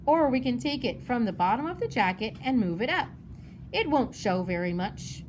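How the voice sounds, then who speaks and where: A woman's voice: on the high side, very animated, normal speed, background noise. A woman voicing a thoughtful, earnest schoolgirl, the older sister in a storybook. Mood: reassuring, earnest.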